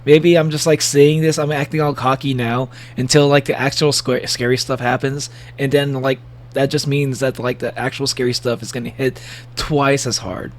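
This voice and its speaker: A man with a gravelly voice giving a casual livestream reaction, rambling and joking playfully as he comments and reads bits aloud. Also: medium pitch, flat delivery, moderate pace. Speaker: streamer reacting to horror videos.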